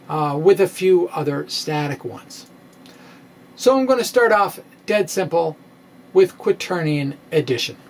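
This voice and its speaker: A middle-aged man presenting a science video blog in an informal, halting, self-deprecating way, with emphatic swings, close to a microphone in a room at home. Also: high-pitched. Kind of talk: informal explanatory lecture to camera, part reading. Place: small room at home with bookshelves. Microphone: microphone on a stand close in front.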